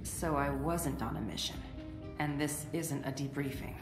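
Young woman covert agent for a game, low, terse, determined, played by an actress, music behind. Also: moderate pace, lightly acted. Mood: confused. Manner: terse, military. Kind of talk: video game voice acting.

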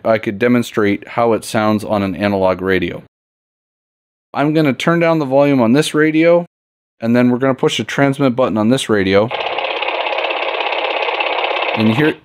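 A man's voice: mid-range voice, no echo. A man hosting an instructional tutorial, explaining things step by step in an informal, plain-spoken way. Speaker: tutorial host. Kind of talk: casual instructional demo, step-by-step.

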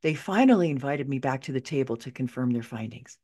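Middle aged lady reading her own book, low voice that swings around a lot, chatty and wry. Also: fairly quick. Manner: expressive, conversational, wry asides with chuckles. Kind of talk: audiobook narration, first-person memoir.

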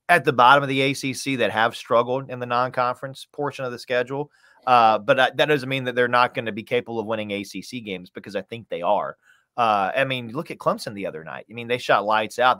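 A man's voice: medium pitch, fairly bright tone, no echo. Dry-sounding man giving conversational sports analysis. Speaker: radio play-by-play announcer, podcast guest. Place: small room, indoors, on video call.

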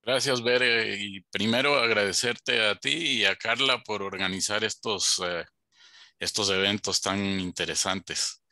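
Bright, dry-voiced man from Ecuador. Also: on the low side, measured pace, dry recording. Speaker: panelist. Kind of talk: personal introduction on a video call. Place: home office on a video call. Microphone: headset.

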